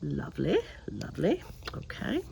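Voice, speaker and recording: A woman hosting a crafting video outdoors. She talks in a quiet, subdued way, drifts into mutters and whispers as she works, and her voice is lower and deeper than usual. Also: huge pitch swings, normal speed, vocal fry, a little hiss or hum. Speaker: host of a paper craft channel. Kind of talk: casual crafting chat while working. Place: outdoors, in a breeze.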